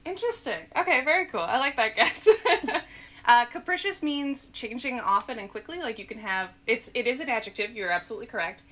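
A woman in her thirties hosting a casual word quiz over a video call, bubbly and quick to laugh, with lots of filler words, on a headset mic in a small room. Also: on the high side, animated, bright, forceful tone. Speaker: host. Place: small room with blue backdrop.

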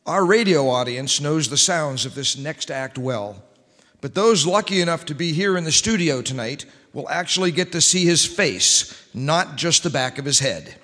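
A man's voice: fairly high pitch, animated, normal speed, sharp tone. A middle-aged man hosting as a formal announcer, reading scripted copy in old-time radio style. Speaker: radio show host and announcer. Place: large church-like hall on stage, indoors. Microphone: stand microphone at lectern. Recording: reverberant hall.

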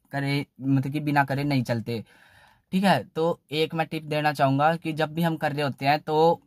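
A young man talks in a slightly high voice, and the sound is close and dry inside a small car. He chats loosely and circles back on his points, adding "theek hai" after nearly every sentence, recorded on a phone. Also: dry recording. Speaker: car channel host. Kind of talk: casual how-to talk in a parked car.

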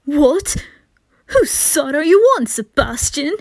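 A woman's voice: high-pitched, lively intonation, slow pace, dry recording, studio quality, pitched above the performer's own voice, full character voice. A woman voicing a haughty, bratty teenage aristocrat boy in an anime ASMR roleplay, imperious and mocking. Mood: indignant.

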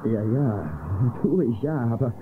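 A man's voice: medium pitch, a little slow, close to an everyday voice. A man playing a nervous, boastful little deputy sidekick with a stammering, whiny comic manner in a German children's audio drama. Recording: tinny, noisy old cassette recording.

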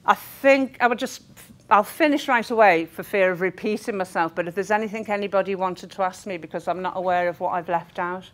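A woman's voice: mid-range voice, a little fast, slight background noise. A middle-aged woman speaking earnestly and emphatically in an informal training talk to a group. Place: meeting room, indoors. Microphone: clip-on lapel mic.

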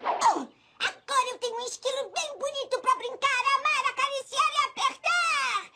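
A woman's voice: extremely high pitch, moderate pace, very noisy. A woman voicing a gushing, smothering little cartoon girl with a Brazilian accent. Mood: gleeful, smothering. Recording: cartoon soundtrack under loud music.

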